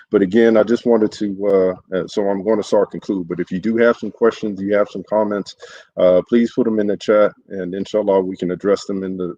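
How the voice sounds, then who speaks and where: A man, an imam, giving a livestreamed sermon in an earnest, halting way, with many fillers and restarts. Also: fairly low pitch, dark tone, lo-fi sound. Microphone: solo livestream setup.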